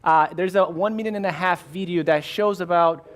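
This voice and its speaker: A man from Brazil with a Brazilian Portuguese accent, an insurance rep giving a relaxed workshop talk with many fillers and restarts. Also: sits high, normal speed. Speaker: insurance rep and risk manager. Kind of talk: workshop presentation.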